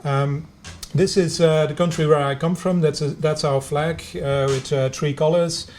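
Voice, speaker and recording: A middle-aged man from Belgium whose first language is Dutch, giving a lecture as a biomedical engineer, speaking English with a Belgian Dutch accent and many pauses, fillers and restarts. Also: on the high side, steady tone, darkish tone, slight background noise. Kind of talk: lecture to students over video conference.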